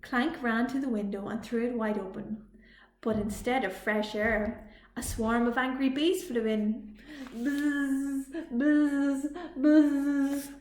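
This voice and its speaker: A woman reading a children's story aloud as a library storytime reader, with expressive delivery. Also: on the high side. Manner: slow, expressive read-aloud. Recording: echoey room.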